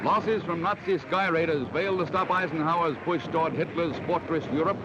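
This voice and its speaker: A man's voice: fairly high pitch, a little fast, very noisy. An American man narrating a 1940s newsreel in a dramatic, emphatic declamatory style, on an old film soundtrack. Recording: dull vintage 1940s mono film soundtrack. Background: loud music.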